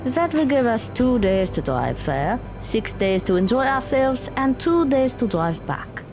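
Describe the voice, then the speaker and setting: A woman's voice: a little high, big pitch swings, measured pace, music in the background. A woman playing a practical, blunt young woman in an audio drama, speaking matter-of-factly.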